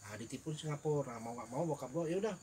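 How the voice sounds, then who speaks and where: A man's voice: mid-range voice, very fast, mellow, slight background noise. A young man from Indonesia chatting casually, telling a long story with restarts, fillers and frequent laughs. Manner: laughs often, tells stories with restarts and fillers. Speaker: guest. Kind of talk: casual chat.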